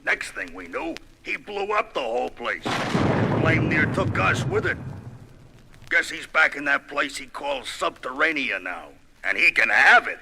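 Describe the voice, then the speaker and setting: An actor playing a gruff, wisecracking brawler of a superhero on a 1970s record, telling his story in sardonic tough-guy slang. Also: a little high, expressive, measured pace. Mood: grumbling.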